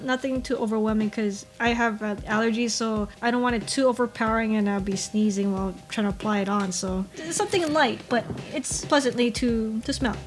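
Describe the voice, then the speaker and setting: A woman from Hawaii, chatting casually as co-host and rambling with restarts. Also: on the high side. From Hawaii, USA. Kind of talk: casual chat.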